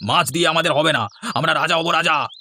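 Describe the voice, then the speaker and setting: A man voicing a greedy, bullying lazy elder brother in a cartoon, excitable and pushy, exclaiming and repeating words. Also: on the high side, a little fast, slight background noise. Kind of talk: Bengali moral-tale cartoon voice acting.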